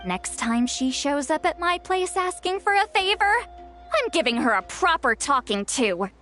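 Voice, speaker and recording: A spirited, teasing granny from a video game. Her voice is high and forceful, leaping animatedly as she banters, over faint music. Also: very animated, normal speed, full character voice. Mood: playful indignation. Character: spirited, teasing granny, youthful-sounding, playfully scolding.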